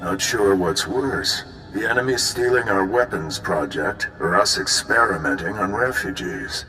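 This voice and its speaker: An actor playing a level-headed, weary intelligence bureau chief in a video game, measured, wry and decisive. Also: male voice, on the low side, over music, rough recording. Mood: focused.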